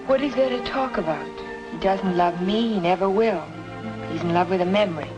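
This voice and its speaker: A determined young noir heroine acted by a woman, earnest and insistent, in an old radio play. Her pitch is medium for a woman, and faint music sits behind her. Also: a little fast, lo-fi sound, natural voice. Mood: dejected. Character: determined, lovelorn young woman playing amateur sleuth. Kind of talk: old-time radio noir drama acting.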